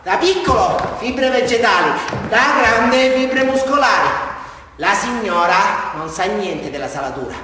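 A man's voice: sits high, animated, unhurried, sharp tone, heavily acted. An actor playing an unhinged, boastful southern Italian prisoner confessing to a policeman in a rambling theatrical monologue. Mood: defiant, boastful. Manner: rambling, volatile, repeats himself. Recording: echoey hall, distant mic, faint noise.

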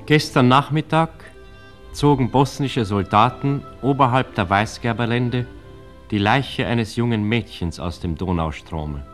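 An actor playing an old-time newspaper announcer in a radio drama, reading reports in a formal, measured, declamatory way. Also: male voice, mid-range voice, soft background music, lightly acted. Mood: solemn.

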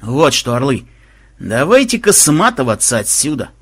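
A man voicing a gruff, weary male team leader with a curt, grumbling, commanding manner. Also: on the high side, expressive, normal speed.

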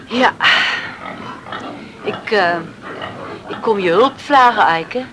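A woman's voice: medium pitch, expressive, slow pace, noisy background. A woman playing a resolute farmer in a Dutch radio play, earnest and coaxing as a worried parent. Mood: subdued.

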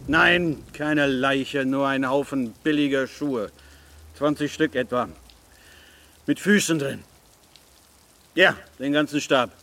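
An actor playing a gruff, sardonic English police detective near retirement in a German radio crime drama. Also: male voice, mid-range voice, takes it slowly, long pauses, a bit bright, slight background noise. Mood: brisk, gruff. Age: 60s. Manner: blunt, grumbling.

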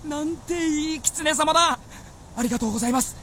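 A woman playing a high-voiced, excitable boy hunter. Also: brisk, close to an everyday voice. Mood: awed, eager. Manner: blustering, stammering, boyish rustic speech. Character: hot-headed, naive big boy hunter, excitable and earnest. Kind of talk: radio drama acting.

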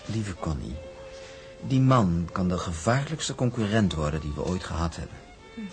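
A confident man of the shipping trade in a television drama. His low voice moves in lively swings as he lays things out with measured emphasis. Faint music sits behind him. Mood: self-assured. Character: confident shipping man briefing a woman about a rival.

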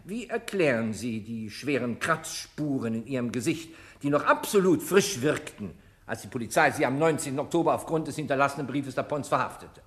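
An actor playing a sharp, relentless prosecutor in a German radio crime drama, cross-examining with probing sarcasm. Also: male voice, mid-range voice, expressive, a little fast, lightly acted. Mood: accusing.

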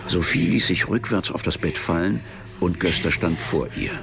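A man narrating a literary radio drama in a measured, deadpan manner. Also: low-pitched, vocal fry. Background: music behind the voice.

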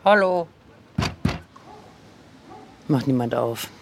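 Gravelly man narrating, mid pitch. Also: animated, extremely slow, noisy background. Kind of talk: first-person radio narration.

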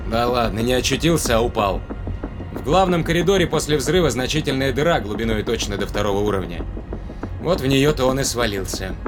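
A man's voice: medium pitch, animated, fairly quick, music in the background, close to an everyday voice. An actor playing a self-assured male spaceship commander in an audio drama, speaking in an offhand, matter-of-fact way. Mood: offhand, reassuring.

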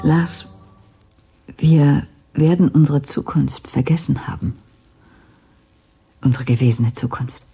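An actress playing a brooding spaceship scientist in a science fiction radio drama, speaking in short, deliberate phrases. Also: female voice, low-pitched, dark tone. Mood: anguished, resigned. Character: anguished, clinical spaceship scientist, resigned woman.

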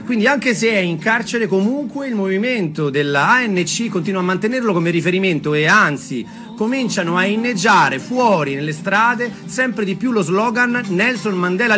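A man telling a story theatrically and off the cuff, emphatic, repeating phrases and putting questions to his listeners. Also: high voice. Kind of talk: theatrical spoken storytelling, semi-improvised.

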